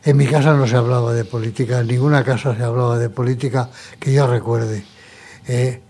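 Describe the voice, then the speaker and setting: Older man, 70s, from Murcia; interview guest, measured, broken phrasing. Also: medium pitch, steady tone, warm tone. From Murcia, Spain. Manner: measured, pausing between short phrases. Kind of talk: conversational testimony.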